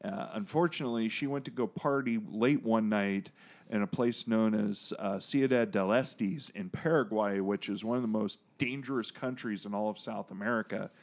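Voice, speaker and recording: Male podcast co-host, low voice, loose and chatty, prone to tangents and crude jokes. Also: moderate pace. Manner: rambling, digressive, many fillers and restarts; jokey, profane asides. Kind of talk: casual podcast chat about comics.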